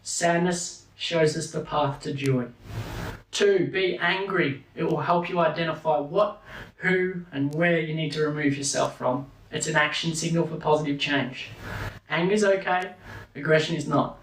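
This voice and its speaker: A man delivering a motivational talk, counting off numbered points slowly and deliberately. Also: fairly high pitch, a bit bright, a little hiss or hum. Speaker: speaker. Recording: echoey room from a distance.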